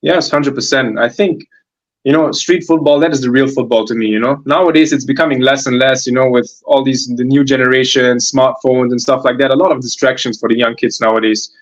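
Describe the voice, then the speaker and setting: A man's voice: mid-range voice, fairly even intonation, fairly quick, dull audio. Hesitant German man in his twenties. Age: 20s. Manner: hesitant, many fillers and restarts. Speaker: guest footballer. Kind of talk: interview answers.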